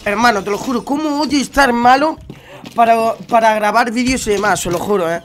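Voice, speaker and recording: A man's voice: extremely high pitch, fairly quick, fairly bright tone, a little hiss or hum. A young man from Spain with a Spanish accent, a bit stuffed up with a cold, chatting slangily to his viewers while gaming at home on a headset mic. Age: young adult. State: congested with a cold. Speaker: gaming YouTuber. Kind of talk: casual gameplay commentary. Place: home room at a gaming setup.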